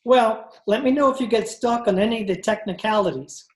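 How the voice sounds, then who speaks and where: A woman's voice: fairly high pitch, a little fast, a little mellow, natural voice. A woman playing a jovial, punning salesman in an old-time radio comedy. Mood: chummy amusement. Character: chummy, punning wax salesman, male.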